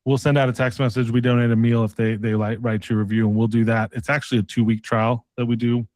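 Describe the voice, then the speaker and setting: Male sales coach for a mock call pitch: colloquial, persistent, pushy closer, mid-pitched voice in a dry recording. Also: flat delivery, fast, a little mellow, dry recording. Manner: pushy, persistent, uses tag questions; casual, colloquial phrasing.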